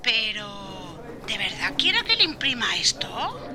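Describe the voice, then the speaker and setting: A woman's voice: on the high side, huge pitch swings, measured pace, crowd noise. A woman from acting an obliging, cheeky secretary in an audio drama. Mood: obliging, doubtful. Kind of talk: Spanish audio fiction acting.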